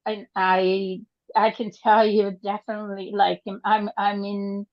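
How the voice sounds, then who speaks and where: Brazilian-born older woman on a video call, slow and halting, gravelly, dull lo-fi sound. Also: mid-range voice, little pitch movement, dry recording. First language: Portuguese. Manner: halting, hesitant, many fillers. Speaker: group participant. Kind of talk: casual sharing in an online group.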